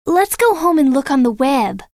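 A woman plays a curious young female detective from a storybook mystery. Her voice sits high and is recorded close and dry. She speaks each line deliberately and with keen expression. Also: very animated, a little mellow, no room sound. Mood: earnest. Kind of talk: audiobook character dialogue.

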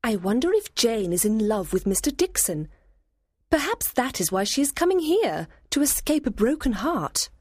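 An actress playing a proud, frank young gentlewoman in a dramatised period audiobook, speaking formally and emphatically. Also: fairly high pitch, sing-song, normal speed, husky, no echo, clean studio sound. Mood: troubled.

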